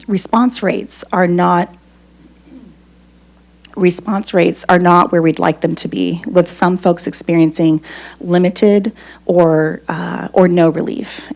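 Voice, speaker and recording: A woman professor giving a psychology lecture, her voice still hoarse after losing it, talking conversationally and checking in with the audience. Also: lowish voice, darkish tone. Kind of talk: academic lecture.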